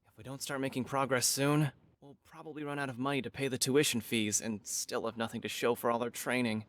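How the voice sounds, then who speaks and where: An actor playing an earnest young martial arts disciple, a matter-of-fact striver in a video game. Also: male voice, mid-range voice, fairly even intonation, a little fast, fairly bright tone, natural voice. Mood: discouraged. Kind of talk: video game dialogue acting. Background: game music.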